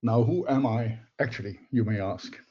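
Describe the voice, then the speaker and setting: An older Danish man gives a webinar talk, his voice mellow and warm in tone. He speaks English as a second language, measured and a little halting. The audio is dull and lo-fi, typical of an online meeting. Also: medium pitch, husky. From Denmark. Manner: measured, slightly halting non-native phrasing. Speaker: presenter, technology advisor. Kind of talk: webinar presentation.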